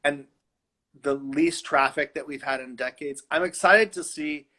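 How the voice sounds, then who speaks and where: A man's voice: fairly high pitch, measured pace, bright, forceful tone. A middle-aged man from Los Angeles, a designer chatting playfully from his home and laughing often. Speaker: fashion designer guest. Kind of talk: casual video-call chat.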